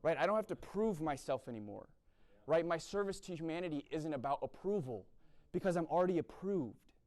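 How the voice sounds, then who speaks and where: Young man from the East Coast, blunt preacher, slightly high voice, peppers his talk with "right?". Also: animated, a little fast, darkish tone. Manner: blunt, repeats "right?" often. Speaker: speaker at a university chapel event. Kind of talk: sermon-style talk.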